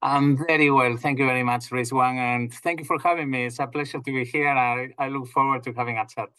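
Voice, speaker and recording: A man from northwest Spain with a Spanish accent, a company president explaining his business in a measured way, with frequent fillers and restarts. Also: medium pitch, steady tone, sharp tone, clear, resonant tone, no room sound. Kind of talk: business interview over video call. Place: home or office in England.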